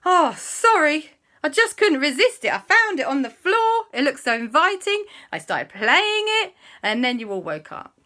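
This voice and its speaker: A woman voicing an excitable, earnest male adventurer who loves animals, in a children's story. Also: sits high, normal speed, pitched above the performer's own voice, heavily acted. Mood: apologetic, eager. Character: eager, apologetic male animal-loving adventurer.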